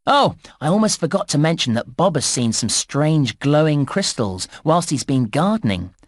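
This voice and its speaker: A man's voice: on the high side, big pitch swings, normal speed, dry recording, studio quality. A man voicing a cheerful, encouraging theme park guide, narrating a children's game in a scripted, presenter-like delivery. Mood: upbeat, encouraging.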